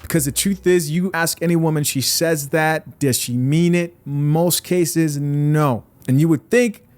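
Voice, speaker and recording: A man's voice: fairly high pitch, fairly even intonation, a little slow, darkish tone. A young man from Zambia hosting a podcast, giving blunt, opinionated commentary straight to his viewers. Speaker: podcast host reacting to clips.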